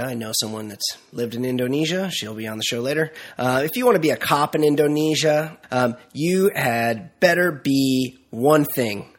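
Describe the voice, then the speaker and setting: A male podcast host with a cold, talking in a nasal, congested voice and rambling through casual, mock-dramatic banter. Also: on the high side.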